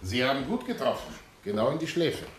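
An actor playing a brusque, insinuating police inspector in a radio drama. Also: male voice, medium pitch, big pitch swings, brisk, slight background noise, lightly acted. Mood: curt, insinuating. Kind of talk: German radio drama acting.

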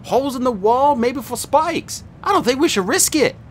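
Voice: high-pitched, lively intonation, normal speed, slight background noise, voice pushed up. A narrator voicing an earnest teenage girl who insists on what she sees. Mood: insistent.

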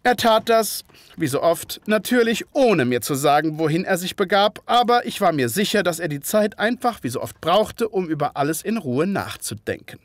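An actor playing a loyal, puzzled gentleman narrator in a detective audio drama, with measured, formal, literary phrasing. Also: high-pitched, very animated, no echo. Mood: reflective.

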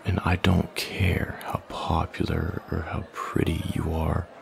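Man playing a slow, gravelly, menacing young bully. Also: completely flat delivery, some chatter behind, full character voice. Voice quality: low, gravelly, often half-whispered. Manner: slow, taunting, domineering. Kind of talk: boyfriend ASMR roleplay, close and breathy.

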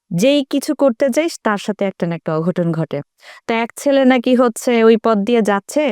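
Bangladeshi woman chatting, mid-pitched and dry. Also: a little mellow, clear, resonant tone, dry recording. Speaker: participant in a group chat. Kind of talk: casual anecdotal storytelling.

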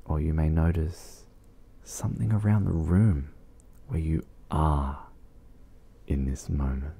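Male meditation guide for ASMR, extremely low with gravelly fry, soft and soothing, leaving long pauses. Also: fairly even intonation.

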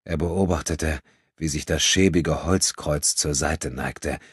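A man narrating an audiobook dramatically, with grave, measured and suspenseful delivery. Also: a bass voice, breathy edge, dry recording, studio quality.

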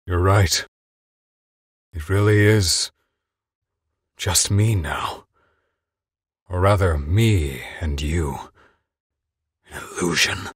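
An actor playing a stern, duty-bound old knight in a video game, husky-voiced and solemn. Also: male voice, low voice, no echo, studio quality, heavily acted. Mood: resigned. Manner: solemn, measured. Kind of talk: dramatic game voice acting.

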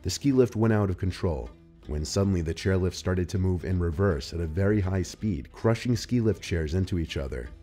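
Deep, mellow male narrator. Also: expressive, a little fast. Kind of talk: scripted narration. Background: music.

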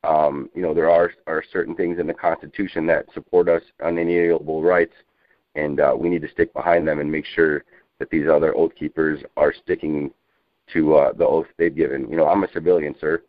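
Male activist, very low voice, rambling and insistent political talk, narrowband dry phone audio. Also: level tone, no room sound. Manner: halting, repetitive, insistent, peppered with you know. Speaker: civilian activist on a group conference call. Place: telephone conference call. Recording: muffled narrowband phone conference line.

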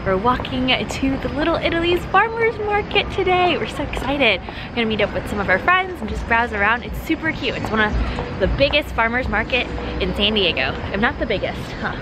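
A young woman vlogging casually to a handheld camera at an outdoor market, bubbly and excitable. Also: a little high, fairly quick, background noise. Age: young adult. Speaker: lifestyle vlogger. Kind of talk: casual vlog chat to camera. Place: outdoor farmers market and city streets. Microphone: handheld camera at arm's length. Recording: busy market crowd and music behind.

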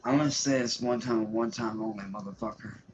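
A man's voice: mid-range voice, a little fast, crowd noise. A man on a livestream ranting angrily, with heavy profanity and threatening emphasis. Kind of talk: angry livestream rant. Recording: dull lo-fi stream audio with faint hiss.

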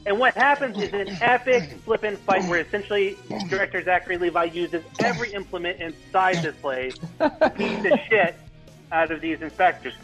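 A man's voice: high-pitched, lively intonation, moderate pace, fairly bright tone, faint music behind, low-quality recording. A man narrating stage directions at a comedy table read, informal, with stumbles and ad-libs.

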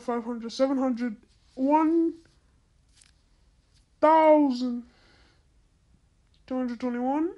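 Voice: high voice, warm tone, lo-fi sound. A female-sounding voice through a voice changer, giving casual gameplay commentary in an exclamatory, incredulous, slangy and repetitive way. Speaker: gaming video host.

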